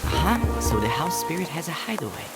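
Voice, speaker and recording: An actor playing a brave, earnest young boy in an adventure game, with expressive delivery. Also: medium pitch, brisk, lightly acted. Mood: curious. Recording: music under the voice.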